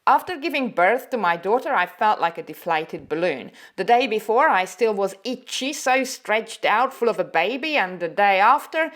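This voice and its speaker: A woman's voice: mid-range voice, lively intonation, moderate pace, few pauses, fairly bright tone. A woman in her forties giving an encouraging talk to camera as a hula hoop fitness instructor. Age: 40s. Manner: upbeat, encouraging, coaxing.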